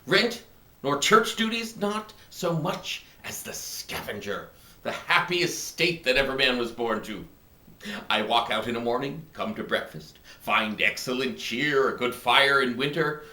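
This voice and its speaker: A man playing a smug, contented kept husband in a theatrical, gleeful Elizabethan stage comedy monologue, with drawn-out phrasing. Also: medium pitch, sharp tone. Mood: gleeful, boastful. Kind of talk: classical stage monologue acting. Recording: echoey room.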